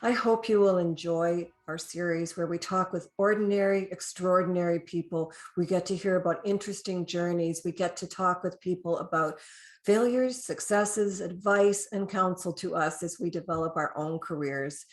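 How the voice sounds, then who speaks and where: A woman's voice: lowish voice, moderate pace. A woman with a Canadian accent, speaking as the host of a podcast interview.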